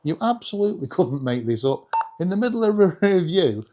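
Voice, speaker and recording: A British man with a husky voice giving a casual, chatty hobby review with self-deprecating humour. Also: sits high, sing-song, a little fast, darkish tone, a little hiss or hum. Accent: British English. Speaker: video creator reviewing radios.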